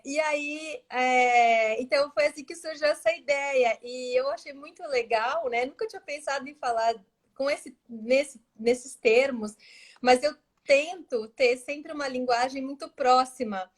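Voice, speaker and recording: Woman w/ são paulo accent, high bright voice, chatty and laughy, on a live stream, no headset just the built-in mic. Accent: São Paulo Brazilian Portuguese. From Brazil. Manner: warm, animated, laughs often between phrases. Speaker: guest astrologer. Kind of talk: casual live-stream chat. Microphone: device's built-in mic, no headset.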